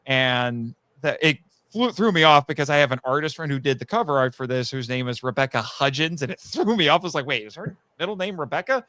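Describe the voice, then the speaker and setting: A man talking casually as a guest about his writing, telling stories in an animated way and acting out quotes. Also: fairly high pitch, a little fast, hardly any pauses, no echo. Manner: animated storyteller, acts out quotes. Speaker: guest, audio drama writer. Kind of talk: casual chat.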